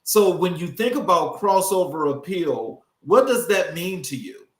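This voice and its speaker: A man's voice: high voice, very animated, a little slow. A man hosting a conversational interview, asking questions and offering short affirmations. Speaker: interview host. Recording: roomy, echoey sound.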